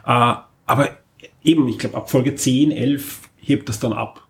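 A man's voice: mid-range voice, unhurried, creaky. A man co-hosting a podcast in casual chat, wordy and enthusiastic, piling up emphasis in long monologues. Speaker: podcast co-host.

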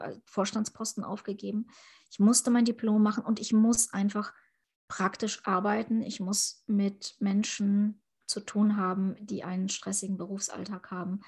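A woman's voice: mid-range voice, vocal fry, darkish tone, husky. A woman in her thirties from Ukraine, answering interview questions in an earnest, thoughtful way. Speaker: interviewee, politician.

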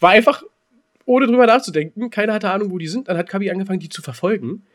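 A man with a slightly high voice chats casually as a podcast co-host. He teases as he goes and rambles through his stories, often repeating words. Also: fast. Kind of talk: casual banter and storytelling.

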